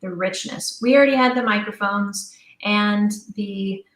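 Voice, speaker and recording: A woman's voice: mid-range voice, measured pace, fairly bright tone. Woman chatting informally, roomy echo. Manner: chatty, informal, thinking aloud. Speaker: audio drama writer and director, interview guest. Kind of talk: conversational interview explanation.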